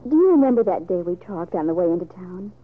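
A woman's voice: on the low side, big pitch swings, fast talker. A woman playing an earnest, hesitant young woman confiding in family, acted in old-time radio soap style. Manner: gentle, hesitant. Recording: thin, phone-like old radio recording.

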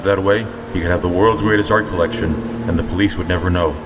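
An actor playing a stern, terse, confident superhero in an audio drama. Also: male voice, low voice, fast talker, background noise, natural voice. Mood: calm, deductive. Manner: terse, deadpan, resolute. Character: stern, brooding male superhero, calm and confident. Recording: long reverb, music behind.

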